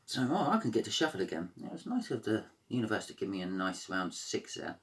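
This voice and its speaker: A man with a croaky voice giving a casual, rambling tarot reading, full of fillers and restarts. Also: on the low side, big pitch swings, fairly quick. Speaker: tarot reader.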